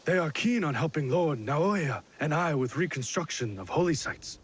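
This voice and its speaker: Nervous nobleman, game drama: highish husky voice, deferential, hesitant. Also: lively intonation, moderate pace. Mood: uneasy, polite. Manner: hesitant, polite, defensive. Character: deferential, uneasy feudal Japanese lord. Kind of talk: video game cutscene dramatic acting.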